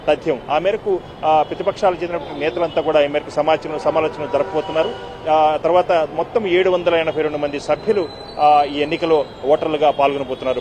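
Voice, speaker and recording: A man giving an unscripted live news report as a correspondent, halting and repetitive with frequent filled pauses. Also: a little high, background noise.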